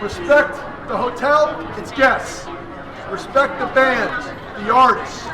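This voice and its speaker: Man emceeing, very high and hyped, echoey hall, music and crowd behind. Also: takes it slowly. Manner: shouting, hyped up. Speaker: event emcee. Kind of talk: stage announcement.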